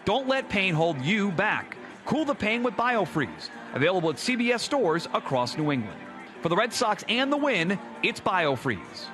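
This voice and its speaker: A man's voice: sits high, very animated, normal speed, music in the background. A man reading a punchy scripted product commercial. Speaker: commercial announcer.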